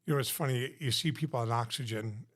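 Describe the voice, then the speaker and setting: A man hosting a podcast in a casual, teasing way, prompting guests with lively asides. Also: mid-range voice, fast talker. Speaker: podcast host.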